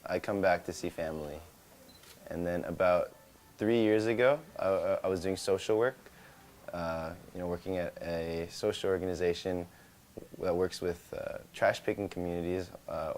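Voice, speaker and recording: Low-voiced young American man. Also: steady tone, a little mellow, a little hiss or hum. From California. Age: young adult. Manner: halting, with many restarts, mixing in Indonesian words. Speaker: founder of a hat company. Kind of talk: casual interview talk.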